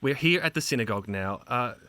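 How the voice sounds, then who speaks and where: A man's voice: medium pitch, lively intonation, fast talker, bright, forceful tone. A man with an Australian accent hosting a playful chat with guests in a jokey, chirpy manner. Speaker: show host.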